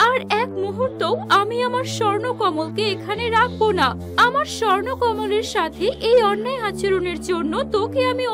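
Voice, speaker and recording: A stern fairy from a fairy-tale cartoon. Her voice sits very high, and she speaks in a grave, commanding, declamatory way, protective and ready to avenge. Music plays clearly behind her. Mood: angry cursing.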